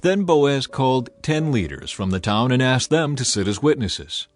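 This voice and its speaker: A man's voice: mid-range voice, big pitch swings, normal speed. Male scripture narrator over music. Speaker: audiobook narrator. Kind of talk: dramatized scripted Bible narration. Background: background music.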